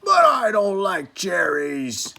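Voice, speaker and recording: The storybook reader voicing a grumpy little male dwarf who talks slowly and gruffly. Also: mid-range voice, expressive, fairly bright tone, heavily acted. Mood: furious. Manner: gruff, slow and sulky.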